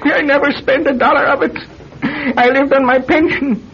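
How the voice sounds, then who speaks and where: A paranoid old hermit with a very high, gravelly voice. He rambles and mutters bitterly in broken phrases. It sounds like a muffled old radio play. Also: steady tone, normal speed. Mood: wistful, defensive. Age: older. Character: paranoid, bitter old male recluse hoarding hidden money. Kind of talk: old-time radio drama acting. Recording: dull, noisy old radio recording.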